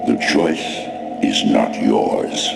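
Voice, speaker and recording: An actor playing a vast, ancient godlike entity in a video game, speaking with cold, imperious and portentous command. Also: male voice, very deep voice, big pitch swings, extremely slow, creaky, exaggerated voice. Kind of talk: dramatic video game voice acting. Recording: echoing reverb, music underneath. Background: game score.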